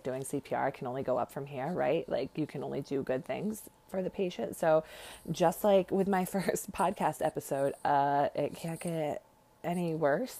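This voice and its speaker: A woman's voice: deep voice, darkish tone. A woman with a slight lisp hosting a casual podcast monologue, rambling in a self-deprecating, blunt and sarcastic way. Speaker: podcast host, nurse.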